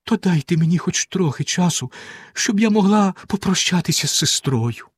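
A man's voice: high-pitched, very animated, unhurried, slightly rough, no echo, pitched above the performer's own voice, heavily acted. A man voicing a frightened young wife who begs and pleads in a raised, tearful voice. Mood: pleading.

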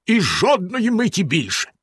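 A man voicing a cruel, cold husband who speaks slowly and sternly with menace. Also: high voice, wildly swooping pitch, fairly bright tone, breathy edge, dry recording, pitched above the performer's own voice, full character voice. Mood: menacing. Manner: slow, stern, threatening delivery.

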